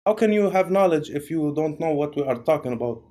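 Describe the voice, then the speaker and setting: A man's voice: on the high side, expressive, fast talker, mellow. A man arguing in a casual online voice-chat debate, insistent and talking over others, calling everyone bro. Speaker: debate participant. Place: voice chat call.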